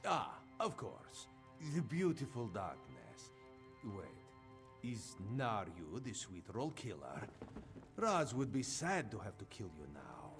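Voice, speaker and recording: A man's voice: on the low side, wildly swooping pitch, slow, exaggerated voice. An actor voicing a vain, playful cat-man agent in a video game, speaking theatrically and with self-assurance. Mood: puzzled. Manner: theatrical, self-assured, refers to himself by name. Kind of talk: video game character dialogue. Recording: music behind the voice.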